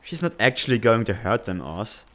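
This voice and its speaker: A man playing an earnest, responsible, tech-savvy teenage student in a comic fan dub, speaking in a measured, slightly formal, exasperated way. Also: lowish voice, big pitch swings, fairly bright tone. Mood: reasoning, defensive.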